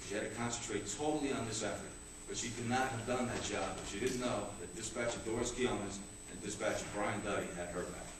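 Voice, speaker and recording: A man's voice: lowish voice, quick pace, few pauses. A man giving a public award speech as a police captain, in an anecdotal, conversational way. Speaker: police captain presenting a commendation. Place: indoor public meeting room. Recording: echoey room, distant mic, clear background noise.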